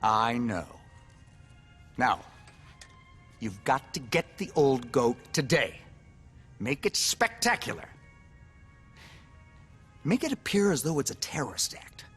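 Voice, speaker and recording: An actor playing a smug, cynical, needy politician in a video game, with a pompous, glib and effusive manner. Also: male voice, medium pitch, big pitch swings, unhurried, long pauses, fairly bright tone, slightly rough, soft background music, exaggerated voice. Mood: smug, scheming. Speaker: politician character. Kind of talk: video game cutscene acting.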